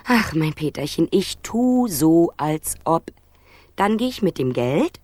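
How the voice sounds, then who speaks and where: A calculating female plotter in a radio crime play. Her low voice swings expressively as she gives orders with confident, patronising charm. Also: big pitch swings, darkish tone, no room sound, clean studio sound, heavily acted. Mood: patronising, patient. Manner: brisk, confident, teasingly patronising. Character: cool, scheming woman plotter, bossy and condescending. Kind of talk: German radio crime drama acting.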